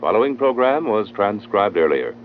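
A man's voice: lowish voice, sing-song, moderate pace. A man making formal network announcements in 1940s radio style. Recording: thin phone-like old radio, faint noise.

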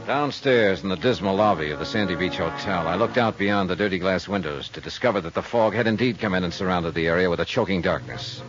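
A man's voice: low voice, quick pace, hardly any pauses, muffled recording, over music, close to an everyday voice. A man acting a hard-boiled, unflappable private investigator in a 1950s radio detective drama, with a clipped, dry, matter-of-fact delivery. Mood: dry narration. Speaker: lead actor and narrator of radio drama.

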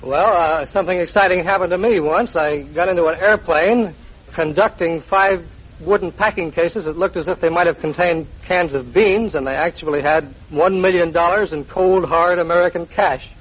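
A man's voice: fairly high pitch, moderate pace, husky. A man answering a host's questions informally as a contestant on a radio quiz show. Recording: dull noisy old radio, audience behind.

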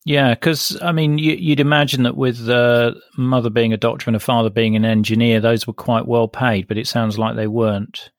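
A man's voice: medium pitch, flat delivery, normal speed, hardly any pauses, no echo, studio quality. A man hosting a podcast, reading scripted introductions and putting questions to a guest as interviewer.

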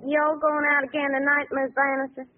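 An actress in a classic radio drama playing an outspoken housemaid, folksy and reproachful. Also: female voice, high-pitched, monotone, brisk, over loud music, close to an everyday voice. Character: outspoken, nosy housemaid, scolding her mistress. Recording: thin, tinny old radio recording.

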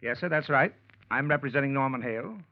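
An actor playing an eager, scheming young talent manager, hesitant at first and then persuasive, in 1940s radio acting. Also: male voice, medium pitch, quick pace, dry recording, close to an everyday voice. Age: young adult. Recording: dull, dry old radio recording.

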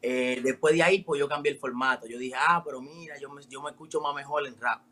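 A man in his twenties from the Dominican Republic with a Dominican accent, a rapper answering interview questions in relaxed slang. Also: medium pitch, fast talker. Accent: Dominican Spanish. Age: 20s. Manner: relaxed, street slang with frequent "me entiendes" tags. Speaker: rapper guest.

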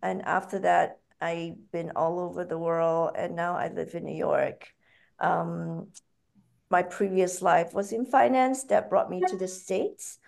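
A woman's voice: fairly low pitch, steady tone, measured pace, creaky, a little mellow. A woman from Malaysia with a Malaysian accent, speaking conversationally as an interview guest. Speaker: podcast guest, author and food company founder.

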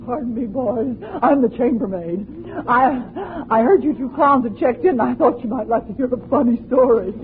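A woman's voice: on the high side, moderate pace, few pauses, narrow, tinny audio, close to an everyday voice. A woman playing a hotel chambermaid in 1940s-style radio comedy. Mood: eager. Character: hotel chambermaid offering a funny story. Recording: noisy, band-limited old radio recording with audience.

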